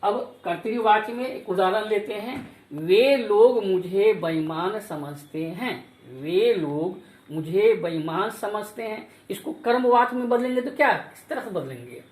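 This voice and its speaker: A woman's voice: mid-range voice, animated, measured pace, a little mellow. A woman teacher giving a lesson, explaining rules with examples in a didactic way and repeating key phrases for emphasis. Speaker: school teacher giving a Hindi grammar lesson. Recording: echoey room.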